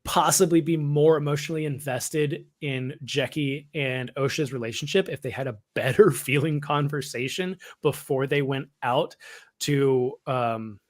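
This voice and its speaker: A man's voice: medium pitch, fairly even intonation, a little slow. A man co-hosting a fan podcast, talking casually and explaining his views in a conversational way. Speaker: podcast co-host. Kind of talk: casual fan discussion of Star Wars.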